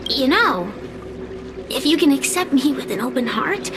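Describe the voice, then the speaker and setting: A woman's voice: high voice, sing-song, moderate pace, background noise. A woman playing a sly, menacing female fire spirit in a video game, theatrical and condescending. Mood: taunting, seductive. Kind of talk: video game cutscene voice acting. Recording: game music under the voice.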